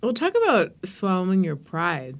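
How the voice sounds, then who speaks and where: A woman's voice: on the low side, big pitch swings, moderate pace. A woman hosting a podcast, interviewing her guest in a conversational style.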